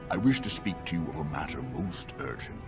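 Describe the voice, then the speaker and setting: A man's voice: rumbling low, expressive, a little fast. A man playing a scheming dwarf noble in a fantasy game, with a courtly, persuasive, oratorical manner. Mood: courteous, urging. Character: smooth-talking, scheming male dwarf noble politician. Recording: music behind the voice.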